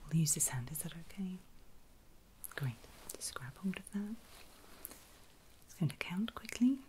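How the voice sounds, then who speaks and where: Softly whispering woman, flat and gentle. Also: lowish voice, measured pace, slight background noise. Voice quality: soft, mostly unvoiced breathy whisper. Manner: gentle, reassuring, step-by-step instructions. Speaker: ASMR performer playing a pre-op medical examiner. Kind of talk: whispered ASMR medical roleplay.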